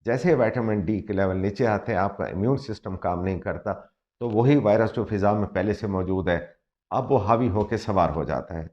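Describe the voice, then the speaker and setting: A man talks as a doctor giving health advice, his voice sitting low and his throat slightly sore. He explains things earnestly and switches into English to stress a point. The sound is muffled and lo-fi. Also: normal speed, darkish tone. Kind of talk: health advice talk to camera. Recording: muffled lo-fi recording.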